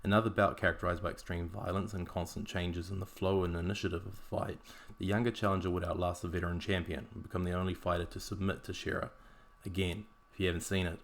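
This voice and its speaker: A man with a New Zealand accent hosting a podcast, reading a scripted narration with dry, wry asides. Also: low-pitched. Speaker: podcast host.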